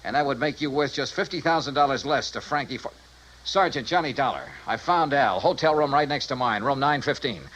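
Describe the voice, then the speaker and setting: An actor playing a tough, straight-talking insurance investigator in a 1950s radio detective drama, clipped and insistent. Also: male voice, medium pitch, fairly quick, fairly bright tone, lightly acted, poor audio. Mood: urgent, commanding. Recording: vintage radio drama recording.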